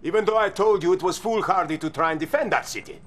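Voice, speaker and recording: An actor playing a veteran Soviet general who talks in a sarcastic, condescending way. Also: male voice, high voice, fast talker, fairly bright tone. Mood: scornful. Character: sardonic veteran male Soviet general, scornful.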